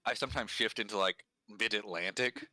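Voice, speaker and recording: A man's voice: fairly low pitch, fairly even intonation, brisk, pushed and bright, dry recording. A man talking casually and articulately about pronunciation, with dry, wry humor. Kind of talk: casual conversation.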